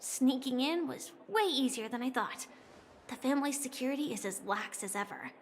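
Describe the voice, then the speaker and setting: A woman's voice: high-pitched, lively intonation, normal speed, slight background noise. An actress voicing a bold, curious young woman stowaway who wonders aloud, in video game voice acting. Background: game music.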